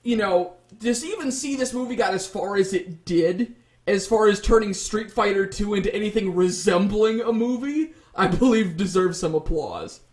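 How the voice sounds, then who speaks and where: A man with a fairly high voice talks as a panelist on a casual debate show. He builds wordy arguments with plenty of hedging along the way. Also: lively intonation, moderate pace, darkish tone. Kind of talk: casual pop-culture debate.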